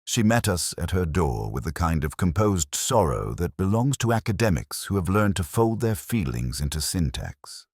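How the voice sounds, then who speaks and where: Very deep-voiced man narrating a detective story. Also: very animated, moderate pace, few pauses, a little mellow, slightly rough, no room sound, studio quality. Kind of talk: scripted first-person detective narration.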